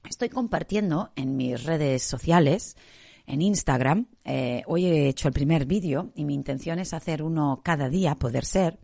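Woman, Spanish accent, deep voice, has a cold, rambling podcast host. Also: darkish tone. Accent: Spain Spanish. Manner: chatty and meandering, many fillers. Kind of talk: casual podcast talk.

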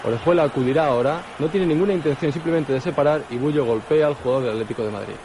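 A man with a Spain Spanish accent giving measured television football replay commentary in an old broadcast recording. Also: a little high. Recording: noisy archive television broadcast.

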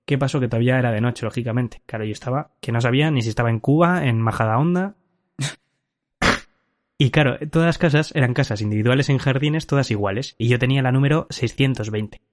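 A man's voice: mid-range voice, fast. A man hosting a casual podcast, telling a drunken travel anecdote with frequent laughs and swearing. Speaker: podcast host. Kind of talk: casual chat, storytelling.

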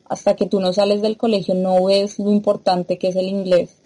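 A woman's voice: medium pitch, little pitch movement, normal speed, warm tone, low-quality recording. A woman from Latin America, a guest in an interview, explaining her ideas in a thoughtful way with small fillers. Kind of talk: interview conversation.

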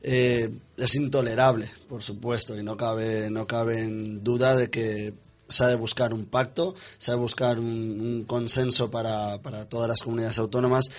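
A man's voice: on the low side, a bit bright. A man from Valencia speaking on a radio panel as a party member, earnest and insistent, repeating words as he builds his point. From Valencia, Spain. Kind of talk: panel debate. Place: radio studio.

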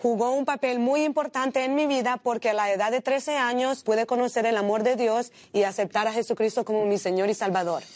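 A woman's voice: a little high, fairly even intonation, fairly quick, few pauses, a little mellow, dry recording. A woman from Honduras, presenting a scripted instructional charity video. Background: background music.

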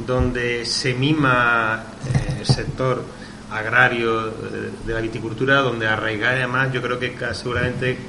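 A man hosts a radio chat about wine, friendly and encouraging, and he restarts his sentences often. The studio sounds roomy, and a clear background noise runs behind his voice. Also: mid-range voice, level tone, unhurried. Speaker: radio host.

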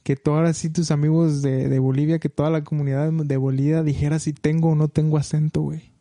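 A man's voice: on the high side, level tone, fast talker, dark tone, no room sound. A young man with a Mexican accent chatting casually and slangily as a podcast guest. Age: young adult.

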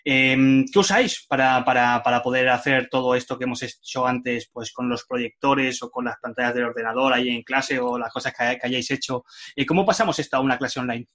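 A man's voice: medium pitch, a little fast, fairly bright tone. A man hosting an online workshop for teachers, chatty and hesitant with many fillers. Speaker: workshop host for Spanish teachers. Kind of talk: casual online workshop talk.

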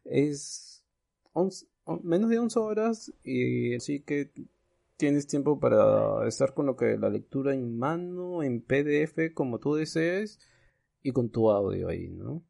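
A man's voice: medium pitch, lively intonation, slow pace, gravelly, mellow. A man co-hosting a podcast in casual chat, often repeating and rephrasing what he says. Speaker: podcast co-host.

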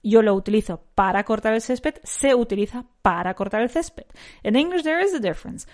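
A woman with a nasal voice teaching an English lesson. She repeats each sentence slowly and switches between English and Spanish. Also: on the high side, expressive, darkish tone. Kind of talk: bilingual language lesson.

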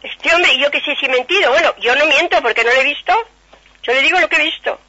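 A woman's voice: high voice, fast, sharp tone. A middle-aged woman with an accent from Spain, chatting casually on a phone call and explaining herself in a rambling, repetitive way. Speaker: prank call recipient. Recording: muffled telephone line.

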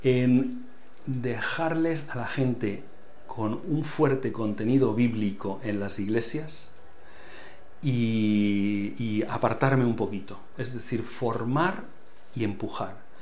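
A man's voice: medium pitch, slow, a little mellow. Older man, foreign accent, church leader, earnest interview talk, repeats phrases, faint hiss behind. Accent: foreign accent in Spanish. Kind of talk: interview answers.

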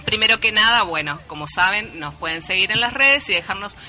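Teasing Rioplatense woman, very bright voice. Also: medium pitch, expressive, a little fast. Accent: Rioplatense Spanish. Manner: teasing, playful interviewer. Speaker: radio co-host. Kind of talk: casual radio chat. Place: radio studio.

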